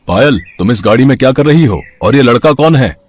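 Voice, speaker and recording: A man playing a stern, reproachful working-class father in a dramatic Hindi cartoon story, with a husky voice. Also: medium pitch, expressive, fairly quick, a little mellow. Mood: stern, angry.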